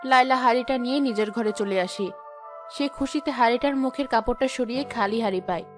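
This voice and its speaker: A woman's voice: fairly high pitch, fairly quick, music in the background. A woman giving scripted narration of a fairy tale as storyteller.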